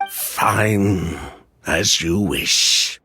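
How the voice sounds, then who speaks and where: An actor playing a weary, resigned male ex-schemer in a video game, speaking in a dramatic, deliberate way. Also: sits low, background noise, full character voice. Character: grown male ex-convict schemer, weary, resigned, theatrical storyteller.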